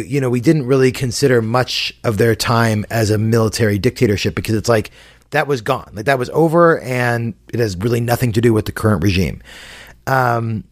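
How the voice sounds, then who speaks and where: A man co-hosting a casual podcast with a dry, wry sense of humor and relaxed, hedging speech. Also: mid-range voice, no echo. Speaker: podcast co-host.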